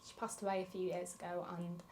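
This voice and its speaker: A woman's voice: on the low side, flat delivery, fast, a little mellow, a little hiss or hum, close to an everyday voice. A woman playing a grieving granddaughter, reflecting confessionally on her fractured family in a film monologue. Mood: wistful. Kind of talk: dramatic monologue in a short film.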